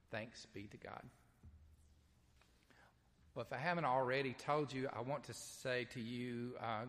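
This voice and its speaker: Folksy Southern pastor in a reverberant church. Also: male voice, medium pitch, a bit bright. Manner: folksy and conversational, with frequent um and uh. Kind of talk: Easter sermon with scripture reading. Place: church sanctuary, streamed live.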